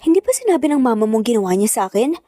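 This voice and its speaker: A woman's voice: a little high, sing-song, rapid-fire, mellow, no room sound, soft background music. A woman acting as a proud, hurt mother in a narrated family drama, emotional and bitter. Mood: angry, tearful. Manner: tearful, bitter, defiant.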